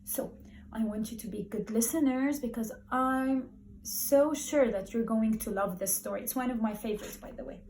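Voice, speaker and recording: A woman primary school teacher speaking to young children in an encouraging, expressive way, repeating words for emphasis as she talks and reads aloud. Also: a little high, normal speed, a little hiss or hum, rough recording.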